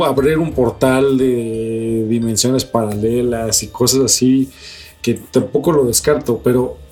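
A man's voice: mid-range voice, a little mellow. A Mexican man with a mid-range voice talks as a podcast co-host. He argues casually and with strong opinions, peppering his speech with slang and swearing. Faint music plays behind him. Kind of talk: casual conversational debate.

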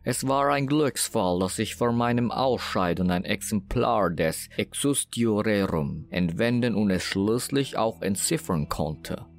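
A man playing an unctuous occultist and former cultist in a horror audio drama, speaking in a deliberate, pompous, flowery manner. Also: on the low side. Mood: smug, solemn. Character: unctuous male occultist, former cultist with moon-marked eyes.

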